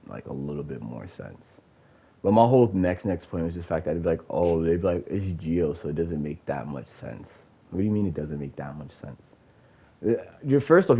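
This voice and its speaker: A man with a very low, husky voice and a stuffed-up, nasal sound rants about a game. He swears freely and rambles through many fillers and restarts. The recording is slightly dull and lo-fi. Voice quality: nasal, congested. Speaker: gamer giving opinions. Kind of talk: casual rant.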